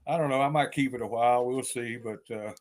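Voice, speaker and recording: An older man with a deep East Texas accent, an author chatting as a guest in an interview, rambling with many fillers and restarts. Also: fast talker. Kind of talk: casual interview chat.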